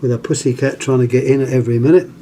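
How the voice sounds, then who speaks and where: A British man giving a casual hands-on demonstration, speaking haltingly and pausing mid-phrase as he handles things. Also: mid-range voice, little pitch movement, dark tone, a little hiss or hum. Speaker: vintage radio collector presenting an item. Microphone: handheld camera, close to the item.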